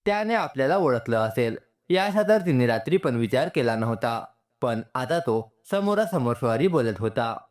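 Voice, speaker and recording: A man's voice: mid-range voice, expressive, moderate pace. A man reading a scripted, sentimental story as its narrator.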